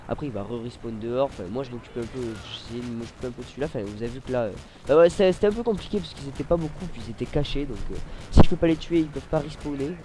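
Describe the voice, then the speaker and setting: A man's voice: medium pitch, noisy background. A man with a cold, commentating casually over his gameplay at a desk mic, rambling and hesitant with many fillers. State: has a cold. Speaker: gaming YouTuber.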